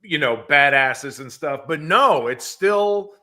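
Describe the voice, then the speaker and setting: A man's voice: fairly high pitch, expressive, slow pace, sharp tone. A man chatting casually as a podcast guest, speaking emphatically and bluntly. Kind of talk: casual chat.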